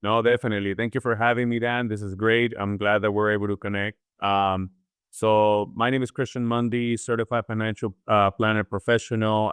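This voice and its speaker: A man's voice: fairly low pitch, clear, resonant tone, dry recording. A man from Chile speaking as a financial planner guest, explaining things conversationally and often checking in with the listener. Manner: explanatory, often seeks agreement with "right?".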